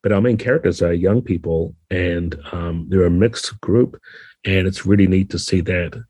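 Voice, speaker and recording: A man with a low, mellow voice talks as an interview guest, explaining things in a thoughtful, conversational way. His tone has a husky grain, and the sound is close and dry. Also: moderate pace, no echo. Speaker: podcast guest, game designer.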